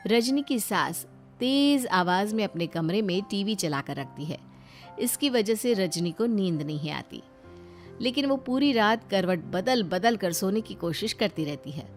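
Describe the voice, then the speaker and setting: A woman's voice: mid-range voice, animated, a little slow, soft background music. A woman reading a scripted family story as narrator.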